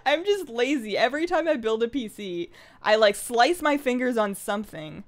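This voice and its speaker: A woman in her twenties chatting casually on a live game stream, rambling along in a bubbly, animated and giggly way. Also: on the high side, moderate pace. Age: 20s. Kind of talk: casual live stream chat while gaming.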